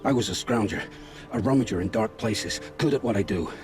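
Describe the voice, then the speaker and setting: A man playing a guilt-ridden scavenger scout in a video game, speaking gravely and with haunted, poetic phrasing. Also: lowish voice, fairly quick, lightly acted. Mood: bitter, regretful. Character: guilt-ridden male scavenger scout, forced informant, begging for family. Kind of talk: dramatic video game acting. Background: background music.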